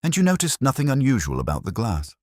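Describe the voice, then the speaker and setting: A man's voice: medium pitch, wildly swooping pitch, fast talker, no room sound, studio quality, voice pushed up. A man voicing a coolly analytical, commanding detective with a clipped, formal, probing manner in a storybook mystery.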